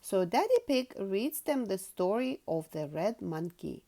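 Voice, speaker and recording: A woman reading a storybook aloud to children in an expressive, sing-song, carefully paced manner. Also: medium pitch, unhurried.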